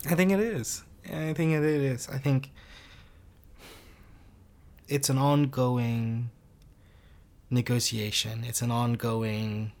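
A young man from the United States, speaking in an interview in a reflective, measured way, stretching out key phrases. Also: mid-range voice, steady tone, a little mellow. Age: young adult. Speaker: guest, student organiser. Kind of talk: conversational interview.

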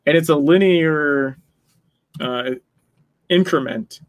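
Man chatting about games, higher-pitched, dry sound. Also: slow, no echo, narrow, tinny audio. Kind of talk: casual gaming discussion.

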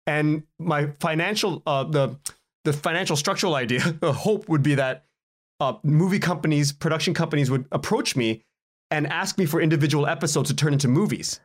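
A man with a moderately high voice talks as an interview guest. He is casual and rambles, doubling back on his words and breaking off to start his sentences again. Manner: halting, rambling, self-interrupting with repeated words. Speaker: podcast guest, writer and podcaster.

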